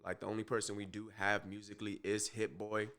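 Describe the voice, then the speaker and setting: A man's voice: on the low side, flat delivery, a little fast, fairly bright tone, clear, resonant tone. A man in his twenties with an American accent, chatting as a guest in a casual interview, easygoing and slangy. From grew up in Germany, American family. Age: 20s. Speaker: rapper, podcast guest.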